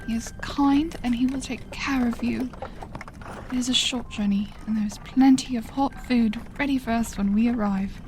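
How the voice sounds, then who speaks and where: A woman playing a gentle, solemn adventurer in a fantasy horror audio drama, earnest and dramatic. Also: a little high, steady tone, normal speed, a little hiss or hum, lightly acted. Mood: gentle, sorrowful.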